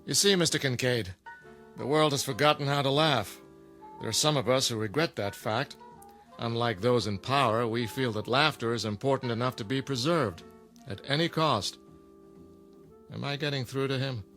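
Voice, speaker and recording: A man playing a dry, earnest jester who leads an outlaw club, speaking in a measured, courteous way in an audio drama. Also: mid-range voice, a bit bright, soft background music, lightly acted.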